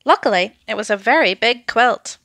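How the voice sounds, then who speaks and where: A woman narrating a children's story with an expressive, deliberate, dramatic delivery. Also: fairly high pitch, no room sound.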